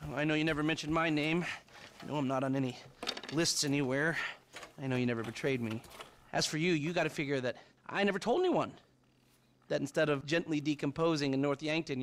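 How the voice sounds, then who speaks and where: An actor playing a sardonic middle-aged hacker who rants in quick, acerbic bursts in a game cutscene. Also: male voice, a little high, lightly acted. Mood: wry. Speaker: game character.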